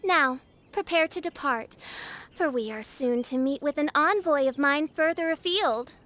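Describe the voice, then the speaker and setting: Grandiose teen princess, high-pitched, haughty, theatrical, archaic flowery diction, game character. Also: female voice, unhurried, a bit bright, a little hiss or hum. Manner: pompous, archaic, flowery speech. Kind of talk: video game voice acting.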